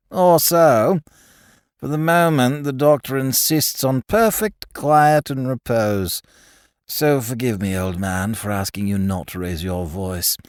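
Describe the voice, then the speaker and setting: A man voicing a languid, smug young man laid up in bed, who speaks in a quiet, slow, patronizing drawl. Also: medium pitch, dry recording, studio quality, natural voice.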